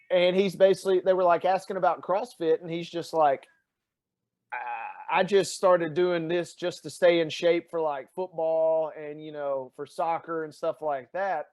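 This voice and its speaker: A man from Tennessee with a Southern accent, chatting as a guest and telling animated stories with lots of fillers. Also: fairly high pitch. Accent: Southern American, Tennessee. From Tennessee, USA. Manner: animated storyteller with many fillers. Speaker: podcast guest. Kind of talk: casual chat.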